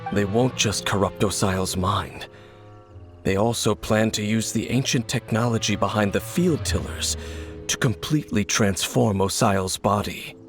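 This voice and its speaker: Man voicing a grave, low-voiced mysterious wanderer. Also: faint music behind, lightly acted. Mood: grave, explanatory. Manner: measured, solemn, formal, cynical. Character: mysterious, aloof male wanderer, grave and knowing. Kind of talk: English video game voice acting.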